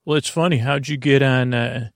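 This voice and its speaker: A man hosting a sleep podcast, improvising a halting, meandering monologue that keeps interrupting itself. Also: mid-range voice, steady tone, vocal fry, no room sound, studio quality. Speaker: podcast host.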